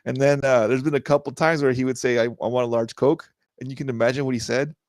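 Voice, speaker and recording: A man's voice: medium pitch, fairly even intonation, fairly quick, a little mellow, no echo, studio quality. A man from Southern California co-hosting a podcast, chatting casually and jokingly, with many fillers and restarts. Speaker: podcast co-host. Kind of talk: casual chat.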